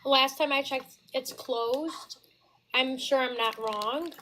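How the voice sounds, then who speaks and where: A woman in her twenties from the United States, speaking with a very high voice in a casual debate, halting at first, then insistent. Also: bright, forceful tone, slight background noise. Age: 20s. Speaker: caller.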